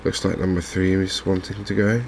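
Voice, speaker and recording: A man speaking softly and unhurriedly in an ASMR style, murmuring his way through video game commentary as a streamer. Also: deep voice, level tone, slight background noise. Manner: soft-spoken, unhurried, murmuring to himself.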